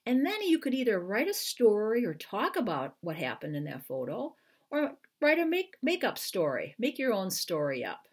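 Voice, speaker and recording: An older woman with an American accent speaks in an expressive storytelling style. Her medium-pitched voice rises and falls widely, and she takes her time with phrases. She is recorded on a webcam in a room at home. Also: moderate pace, husky. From Iowa, United States. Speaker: children's book author. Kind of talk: picture book read-aloud and informal talk to viewers. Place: living room at home, indoors. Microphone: webcam at arm's length.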